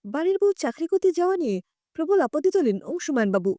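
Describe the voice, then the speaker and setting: High, mellow woman storyteller. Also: sing-song, fast talker, no echo. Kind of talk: expressive scripted story narration.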